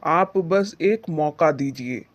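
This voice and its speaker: A man's voice: on the high side, slow, highs cut off. A man voicing a humble, earnest poor farmer in a moral-story cartoon, speaking respectfully and with feeling. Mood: earnest, determined. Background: background music.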